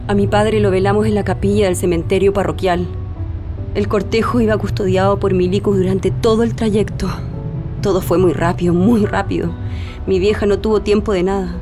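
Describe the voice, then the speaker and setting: An actress with a Chilean accent playing a grieving daughter who gives sorrowful, reflective testimony in a radio drama. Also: female voice, medium pitch, mellow, lightly acted. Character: grieving daughter recounting her father's death.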